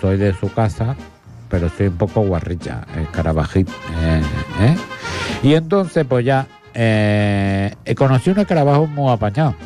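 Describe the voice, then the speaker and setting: A man's voice: lowish voice, sing-song, moderate pace, mellow, faint music behind. A man voicing a prissy, posh female beetle in a comedic improvised bit, campy and rambling. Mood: gossipy.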